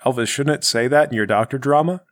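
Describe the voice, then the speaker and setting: A man's voice: mid-range voice, fast talker, close to an everyday voice. A man speaking in character as a player in a tabletop game.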